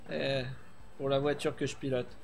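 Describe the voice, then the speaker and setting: A man's voice: mid-range voice, vocal fry. A middle-aged man streaming a rally game from a small room, chatting casually and thinking aloud with many fillers. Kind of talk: live gameplay chat.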